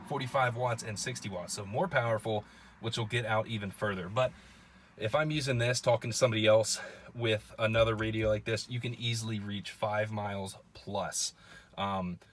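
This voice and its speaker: A man's voice: fairly low pitch, fairly bright tone. A man hosting a casual product review to camera in an informal, plain-spoken, conversational way. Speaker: video host.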